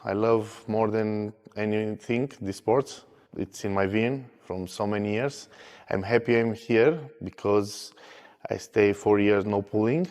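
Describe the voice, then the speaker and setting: A man in his thirties, an armwrestler, speaking in short, halting English in a pre-match interview. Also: lowish voice, darkish tone, long reverb tail. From Romania. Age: 30s.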